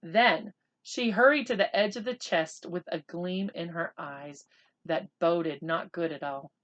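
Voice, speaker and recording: A woman reading a children's book aloud as an expressive narrator. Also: lowish voice, unhurried, lo-fi sound.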